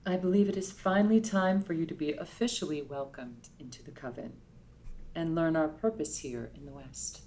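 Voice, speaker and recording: A woman performs an older witch who rules a coven in a cartoon read. Her voice is low. She speaks with formal, ominous solemnity. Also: normal speed, a little mellow, lightly acted, poor audio. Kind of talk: animated pilot table read.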